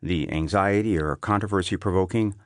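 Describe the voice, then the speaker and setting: A man reading an essay as an audiobook narrator, in a measured, clearly phrased delivery. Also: deep voice, no room sound, clean studio sound.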